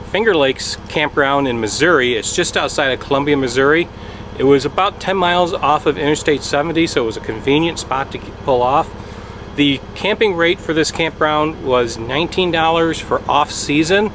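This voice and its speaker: A man's voice: fairly high pitch, fairly bright tone, a little hiss or hum. Matter-of-fact man at an outdoor campground. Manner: plain and matter-of-fact, with frequent ums. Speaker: RV travel vlogger. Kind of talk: casual on-camera explanation. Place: outdoors at a wooded campground beside a pickup truck. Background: idling truck engine.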